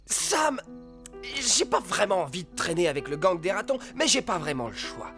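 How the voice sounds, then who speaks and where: A man's voice: sits high, sing-song, moderate pace, a bit bright, full character voice. A man voicing a cocky, easily rattled fox who poses as a pet dog in a French children's cartoon, with exclamatory delivery. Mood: reassuring, defensive. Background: cartoon music.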